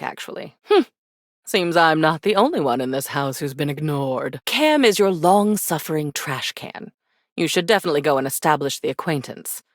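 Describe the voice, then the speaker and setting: A woman's voice: sits low, dry recording. A woman voicing a haughty female spirit in a video game, speaking in an arch, theatrical way with dry sarcasm and condescending endearments. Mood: imperious, dry. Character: haughty, sardonic female attic spirit of forgotten keepsakes. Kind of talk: scripted video game character acting.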